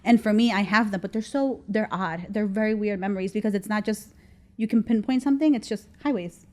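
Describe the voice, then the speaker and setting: A woman's voice: medium pitch, a little fast, darkish tone. Woman in her 30s from mexico, guest on a chat podcast, thoughtful, lots of ums and restarts. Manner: reflective, frequent ums and restarts. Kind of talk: casual interview.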